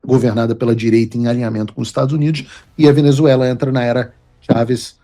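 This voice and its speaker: A man's voice: mid-range voice, fairly even intonation, quick pace, warm tone. A man from Brazil giving emphatic, lecturing political commentary as host of his show. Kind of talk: opinion commentary.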